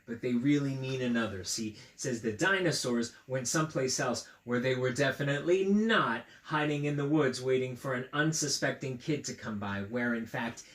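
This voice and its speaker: A man reading a picture book aloud to children, playful and knowing, with chatty asides and dramatic pauses. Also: medium pitch. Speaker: storyteller, school read-aloud host.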